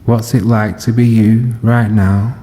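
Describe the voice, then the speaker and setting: A man leading a guided body scan meditation as a teacher, speaking gently and unhurriedly in short phrases with long pauses. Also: lowish voice, level tone. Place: live session in a reverberant room. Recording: long reverb of a hall.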